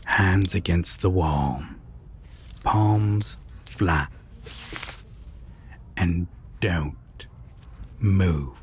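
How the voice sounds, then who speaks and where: A man playing a sadistic, possessive vampire villain in a dark audio roleplay, husky and often near a whisper, deliberate and condescending. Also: low-pitched, slight background noise. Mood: cold, threatening. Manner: menacing, slow, deliberate, condescending.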